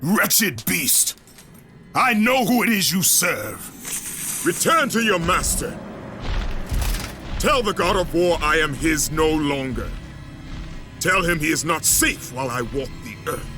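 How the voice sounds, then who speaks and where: An actor playing a grim, vengeful Spartan warrior in a video game, gruff and commanding. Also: male voice, a little high, sing-song, slow, pauses often. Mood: furious. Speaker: game character. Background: music.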